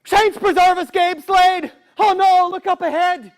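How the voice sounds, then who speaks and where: A storyteller voicing a train engineer who cries out high and loud in alarm. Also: fairly even intonation, a little slow. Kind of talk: live storytelling.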